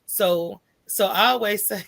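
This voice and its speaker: Woman, middle-aged, American accent, mid-range pitch, casual interview guest, animated storytelling, frequent check-in tags. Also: measured pace, creaky, low-quality recording. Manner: animated storytelling, acts out quoted thoughts, often tags phrases with "right?". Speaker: interview guest, coffee shop owner.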